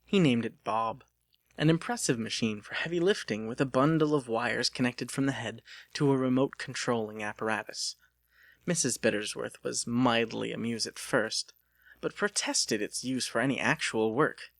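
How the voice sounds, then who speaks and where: A man's voice: medium pitch, moderate pace, gravelly, a bit bright, no echo, studio quality. A man reading an audiobook as a dramatic scripted narration.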